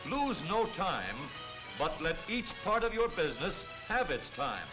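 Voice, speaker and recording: A man's voice: on the high side, big pitch swings, unhurried. A man narrating a 1930s promotional film in a declarative, oratorical style. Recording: dull vintage 1930s film soundtrack. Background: loud background music.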